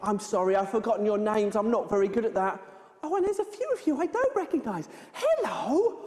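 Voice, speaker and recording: A woman playing an excitable, scatty, friendly adventurer in an interactive children's stage show, exaggerated and playful as she talks straight to the children. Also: a little high, fairly quick. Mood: friendly, excited. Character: excitable, scatty, friendly adventurer setting off by hot air balloon. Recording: echoey hall with long reverb.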